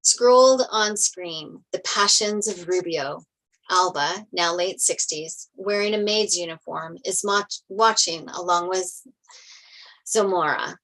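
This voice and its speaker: A woman narrates a screenplay, reading out the stage directions. Her voice is a little low in pitch, in a table-read style. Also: slow, a bit bright, low-quality recording.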